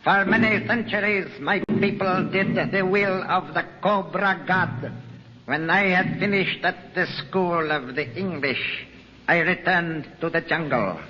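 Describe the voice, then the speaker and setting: An actor playing a menacing cobra cult priest in an old-time radio melodrama, with solemn, pompous, ceremonial phrasing. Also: male voice, high voice, a little slow, background noise. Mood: haughty menace. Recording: muffled old radio recording, long reverb, music.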